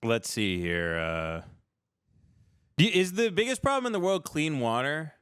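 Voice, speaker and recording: A man's voice: a little high, sing-song, unhurried, a bit bright, smooth, dry recording, studio quality. A man hosting a livestream in a casual comedic chat, rambling through irreverent, profane stories. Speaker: livestream show host.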